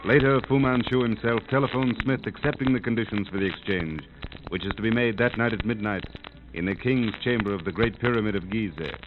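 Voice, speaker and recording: A man's voice: on the low side, fairly quick, background noise. A man narrating a 1930s radio serial as announcer, with dramatic, formal delivery. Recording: tinny, band-limited old radio recording. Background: music.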